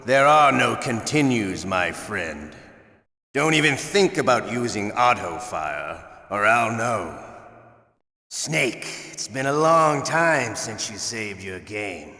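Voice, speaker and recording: Older sadistic game villain, gravelly, slow theatrical taunts, swooping pitch, long hall reverb. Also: male voice, lively intonation, sharp tone, exaggerated voice. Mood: mocking menace. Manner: menacing, taunting, drawn-out theatrical delivery. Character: sadistic, theatrical older male Russian torturer and interrogator. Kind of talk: video game voice acting.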